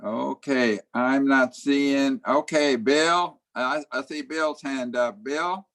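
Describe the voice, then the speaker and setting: A man chairing an online club meeting over a video call, talking in a halting way with many fillers. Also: mid-range voice, a bit bright.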